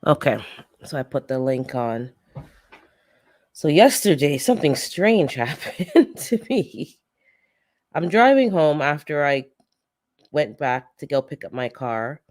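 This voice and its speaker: A woman's voice: deep voice, sing-song, slow, pauses often, darkish tone. Stuffy-nosed chatty woman livestreaming. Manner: chatty storyteller, laughs often, greets chat by name. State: stuffy, congested nose. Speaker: gardening channel host. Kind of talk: casual livestream chat with viewers.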